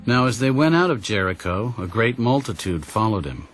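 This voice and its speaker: A man narrating a dramatized Bible story in a measured, solemn storytelling manner. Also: lowish voice, big pitch swings. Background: background music.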